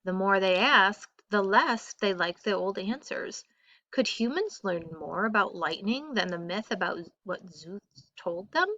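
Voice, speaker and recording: Slow, bright-voiced woman reading aloud. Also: medium pitch, animated, no room sound, muffled recording. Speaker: teacher reading a textbook aloud. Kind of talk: read-aloud narration of a school textbook.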